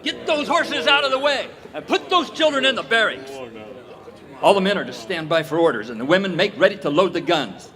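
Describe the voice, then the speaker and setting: Casting: man as defiant army lieutenant, animated swooping delivery, barked commands, radio western, live hall acoustics. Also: high voice, brisk, heavily acted. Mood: brisk, commanding. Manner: barked orders, dramatic declamation. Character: tense army lieutenant, fatalistic yet defiant. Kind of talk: 1930s radio western acting. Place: performing arts theater stage. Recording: reverberant hall, live stage performance.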